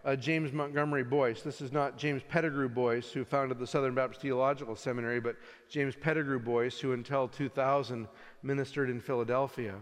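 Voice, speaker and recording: A man preaching a sermon as pastor, earnest and swelling louder on key points. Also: medium pitch, steady tone, fairly quick. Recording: long reverb in a large hall.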